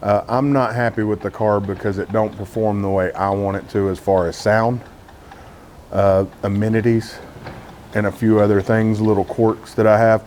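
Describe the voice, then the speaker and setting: A man with a Texan accent and a lowish voice talks to his camera in a loose, candid vlog style. He rambles a bit and fills pauses with "uh" and "um". A faint background noise sits under his voice. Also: flat delivery, darkish tone. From Texas. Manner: candid, rambling, frequent "uh" fillers. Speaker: car vlogger. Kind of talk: casual talk to camera.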